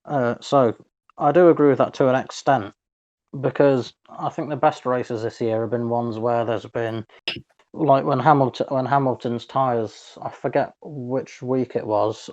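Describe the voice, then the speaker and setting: A man chats as a sports podcast co-host in a mid-pitched voice. He feels his way through each point, often rewording it. The sound is a little muffled and lo-fi. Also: fairly even intonation, moderate pace, a little mellow. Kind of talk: casual sports discussion.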